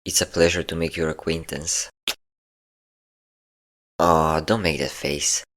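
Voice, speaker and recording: Man playing a deep-voiced, teasing male vampire. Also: level tone, background noise. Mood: amused, flirtatious. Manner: taunting, flirtatious, slow endearments. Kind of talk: intimate roleplay audio acting.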